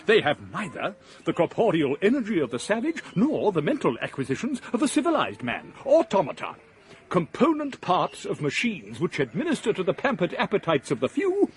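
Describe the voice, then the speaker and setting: A man's voice: high voice, wildly swooping pitch, moderate pace, slight background noise. An actor playing an impassioned male philosopher in a radio drama, declaiming with scorn against progress. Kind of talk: 1980s radio drama acting.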